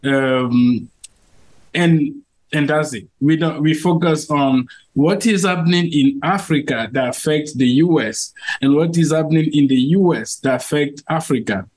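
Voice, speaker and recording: Cameroonian man, slightly high voice. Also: unhurried, darkish tone. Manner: earnest, with frequent fillers and restarts. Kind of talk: interview answers.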